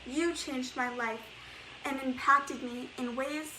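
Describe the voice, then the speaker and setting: A woman's voice: high voice, fairly even intonation, slow pace, pushed and bright, exaggerated voice, poor audio. A young woman playing an earnest, mourning teenage girl in a stage monologue, with faint noise on the recording. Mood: earnest grief. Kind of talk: stage monologue as spoken letter.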